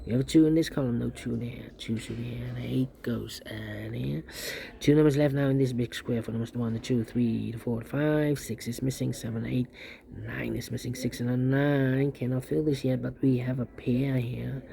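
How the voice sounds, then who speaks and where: A man with a dark, relaxed voice and a noticeable creak walks through a puzzle step by step. He thinks out loud as an instructor, often repeating short phrases and muttering to himself. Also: medium pitch, moderate pace. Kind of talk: step-by-step sudoku tutorial, thinking aloud.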